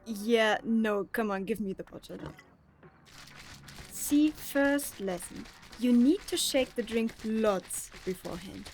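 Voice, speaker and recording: Actress as bossy student sis, highish young voice, know-it-all teasing, audio drama w light music. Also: female voice, slow pace, a little mellow. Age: young adult.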